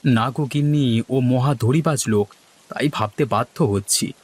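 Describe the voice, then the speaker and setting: A man's voice: medium pitch, unhurried, darkish tone, breathy edge. Cartoon village trader played by a man: mid-range voice, exaggerated, dramatic dialogue. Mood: suspicious.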